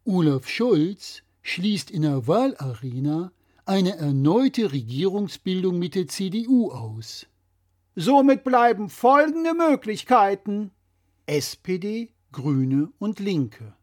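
Guy with a kinda high voice reading out a scripted explainer, didactic and critical. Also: sing-song, slow, a little mellow. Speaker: presenter.

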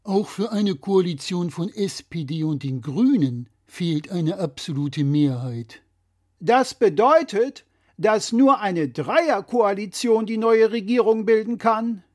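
A man's voice: high-pitched, expressive, slow. A man presenting a scripted political explainer in a didactic, critical way.

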